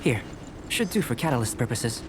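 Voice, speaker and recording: A man voicing a calm, reserved young alchemist scholar in an anime game, speaking in a measured, precise way. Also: mid-range voice, noisy background, lightly acted. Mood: composed, instructive. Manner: measured, precise, composed, clinical.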